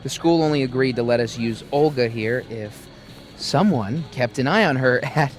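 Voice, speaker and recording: A man's voice: medium pitch, normal speed, faint music behind, natural voice. A man playing an awkward, nervous, put-upon young man in a video game, hesitant and self-deprecating. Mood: weary, wry. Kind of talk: naturalistic video game acting.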